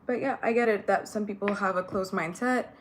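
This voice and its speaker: Young woman from Ethiopia, non-native English speaker, casual chatty vlog talk, mid-pitched voice, faint background noise. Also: a little fast. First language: not English. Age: young adult. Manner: chatty and candid, many fillers. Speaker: vlogger. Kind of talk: casual get-ready-with-me chat.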